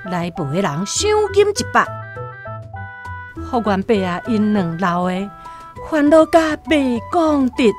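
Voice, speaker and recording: A woman with a Taiwanese accent narrating a scripted literary radio drama as a storyteller. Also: on the high side. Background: plucked guitar music.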